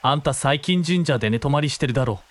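A man's voice: mid-range voice, quick pace, natural voice. A man playing a cocky, menacing thug in a radio drama, with coarse, taunting, slangy speech. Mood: smug, leering. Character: cocky, menacing male thug pestering a shrine maiden. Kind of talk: Japanese radio drama acting.

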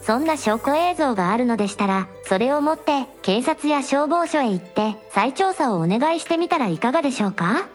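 A woman's voice: high voice, lively intonation, fairly quick, few pauses, faint music behind. A woman voicing a polite, supportive young princess hosting a livestream in a radio drama, speaking in formal honorifics. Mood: curious.

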